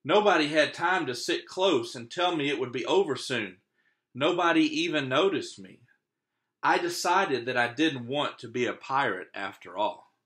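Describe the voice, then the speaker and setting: A man's voice: mid-range voice, animated, moderate pace, a bit bright. A man reading a picture book aloud expressively as a teacher, stopping to put questions to young listeners.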